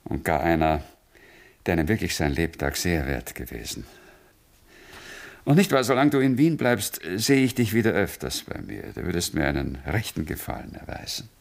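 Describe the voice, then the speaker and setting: An actor playing a courteous, old-fashioned Viennese gentleman in a radio drama, expansive and formal in manner. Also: big pitch swings, normal speed, full character voice. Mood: cordial, courteous. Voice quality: deep, gravelly. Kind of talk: 1960s German radio play acting.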